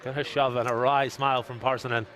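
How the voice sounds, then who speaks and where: A man commentating a live poker stream from a casino booth, reading out chat and cracking quick one-liners in a soothing radio voice. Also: mid-range voice, level tone, a bit bright.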